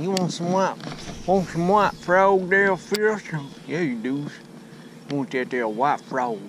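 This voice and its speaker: A man with an American accent chatting casually and playfully as he fishes, repeating himself in a folksy way. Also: a little high. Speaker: angler filming a fishing vlog. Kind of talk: casual running chatter while fishing. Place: outdoors on a bass boat on a lake. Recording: noisy outdoor boat audio, picks up everything.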